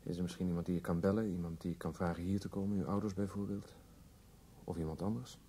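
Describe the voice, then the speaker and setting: A weary male police detective played by an actor in an old radio play. His voice is on the low side, grave and plain-spoken, with faint noise on the recording. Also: steady tone, fast talker, dark tone, close to an everyday voice. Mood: grave, consoling. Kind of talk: radio drama acting.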